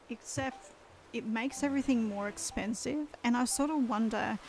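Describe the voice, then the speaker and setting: A woman's voice: on the high side, darkish tone, rough recording. A woman chatting casually on a game live stream, losing her voice and rambling as she thinks aloud.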